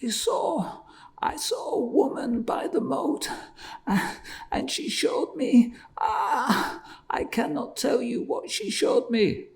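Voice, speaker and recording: A man voicing a kind, terrified older male merchant who pleads in a high, strained voice and gasps out whispers. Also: huge pitch swings, slow pace, vocal fry, warm tone, recorded from a distance, full character voice. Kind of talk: storybook reading.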